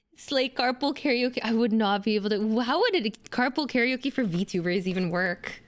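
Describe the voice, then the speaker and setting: Young woman streamer from Indonesia, rambling and playful, a little high-pitched. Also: expressive, normal speed. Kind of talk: casual live-stream chat while building a model kit.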